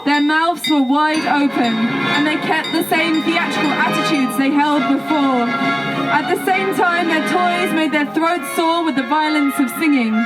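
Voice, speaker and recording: Female performer, high voice, theatrical spoken delivery of stories and texts, music playing behind her. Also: a little slow, poor audio. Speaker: performer giving a lecture performance.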